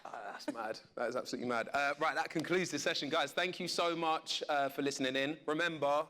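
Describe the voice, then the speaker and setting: A man's voice: fairly high pitch, fast talker, sharp tone. A man hosting a live panel casually, in an informal, jokey, slangy way. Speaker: panel host, radio presenter. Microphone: event stage microphone.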